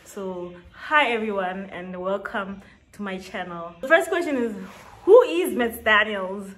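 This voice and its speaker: Slow-talking woman in her twenties. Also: medium pitch, expressive, a bit bright, slight background noise. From South Africa. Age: 20s. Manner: chatty, laughs often, drawn-out emphasis. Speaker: YouTuber. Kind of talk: casual get-to-know-me vlog. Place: small indoor room with shelves.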